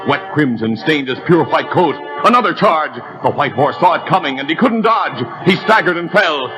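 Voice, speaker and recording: A man's voice: fairly high pitch, lively intonation, moderate pace, music in the background, poor audio. A man narrating a 1930s radio adventure drama with a vivid, building delivery.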